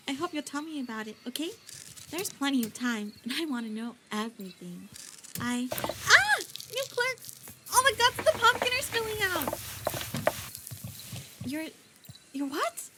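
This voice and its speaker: A woman voicing a bubbly, naive young shopkeeper who is excitable, chatty and easily torn between choices in a roleplay voice pack. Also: high-pitched, moderate pace, long pauses, noisy background. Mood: playful.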